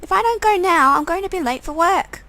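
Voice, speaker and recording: A woman plays an evasive teenage stepsister, eighteen and always in a hurry. Her voice is raised high, suited to a visual novel character. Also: expressive, brisk, pushed and bright, full character voice. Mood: hurried, evasive. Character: hurried, evasive eighteen-year-old stepsister.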